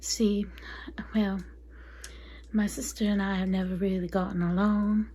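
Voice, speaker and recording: A woman playing a chatty, catty female vampire consultant in a soft, breathy, confiding ASMR roleplay whisper. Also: mid-range voice, flat delivery, measured pace. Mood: catty, gossipy. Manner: confiding, gossipy, apologetic asides.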